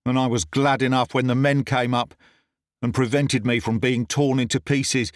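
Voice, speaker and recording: A man reading a classic story aloud as scripted narration, in a measured, earnest way. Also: mid-range voice, dry recording, clean studio sound. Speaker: audiobook reader.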